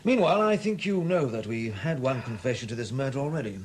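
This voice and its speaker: An actor playing a shrewd, middle-aged chief superintendent in a 1970s radio drama, measured, probing and dryly courteous. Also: male voice, medium pitch, expressive, natural voice. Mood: measured, deferential. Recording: hissy old 1970s radio broadcast recording.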